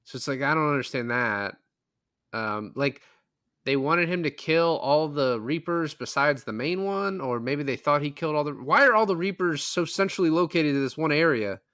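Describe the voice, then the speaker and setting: A man's voice: on the high side, animated, normal speed, fairly bright tone, dry recording. Man chatting casually, dry close sound. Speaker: podcast co-host. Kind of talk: casual film discussion.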